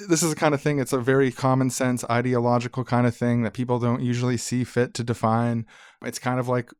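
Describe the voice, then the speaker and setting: A man's voice: medium pitch, clean tone, no room sound, studio quality. A man co-hosting a podcast, explaining things in an informal, conversational way. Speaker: podcast co-host.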